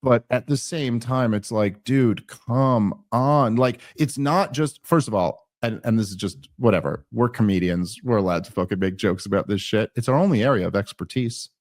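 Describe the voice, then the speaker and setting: A man's voice: medium pitch, normal speed, few pauses, a little mellow. An American man hosting a political podcast, talking casually and sarcastically, full of restarts and fillers. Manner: rambling, many restarts and fillers; sarcastic, exasperated. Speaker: podcast host.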